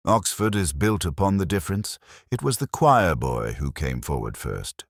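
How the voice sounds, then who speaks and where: A man playing a reflective, sceptical English detective, narrating a mystery story in a measured, literary, brooding manner. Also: low-pitched, sing-song, no echo, studio quality. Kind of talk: scripted first-person mystery narration.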